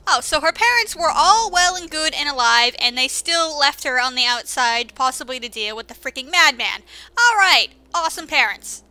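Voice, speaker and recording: Female game commentator, high and piercingly bright, sarcastic and informal, casual delivery over game music. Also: lively intonation, normal speed, dry recording, poor audio. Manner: sarcastic, exclamatory; informal, swearing. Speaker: gameplay commentator.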